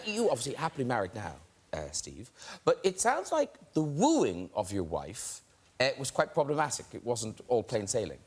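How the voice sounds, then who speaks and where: A man's voice: medium pitch, huge pitch swings, normal speed. An Irish man hosting a talk show, teasing his guests and giggling. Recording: studio audience noise behind.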